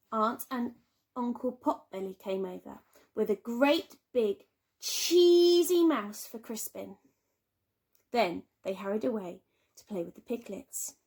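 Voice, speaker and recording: A woman reads a children's story like a primary school teacher. Her voice is high and very animated, and she takes her time, stretching words for dramatic emphasis.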